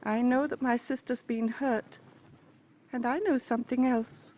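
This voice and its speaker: A woman's voice: a little high, fairly even intonation, unhurried, a little mellow, close to an everyday voice, low-quality recording. An actress in an old radio drama playing a distraught, insistent middle-aged woman, pleading with theatrical intensity. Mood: distraught, intense. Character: distraught, insistent fifty-two-year-old woman grieving her missing sister. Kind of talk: 1940s-50s radio drama acting. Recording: vintage radio recording with faint hiss.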